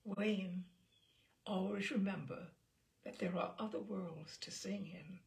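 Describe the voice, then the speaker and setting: A woman voicing a patient, kind female telephone operator in a storybook reading, speaking softly, quietly and clearly. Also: medium pitch, slow, slight background noise. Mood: quiet, tender. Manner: soft-spoken, clear, quiet. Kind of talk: storybook read-aloud.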